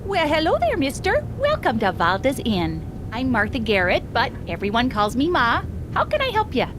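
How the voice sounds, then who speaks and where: A cheery small-town Minnesotan innkeeper for a game. Her folksy voice is high and swoops dramatically up and down, gushing and motherly, with a clear rumble behind her. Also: huge pitch swings, moderate pace. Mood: cheery, welcoming. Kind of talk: video game character dialogue.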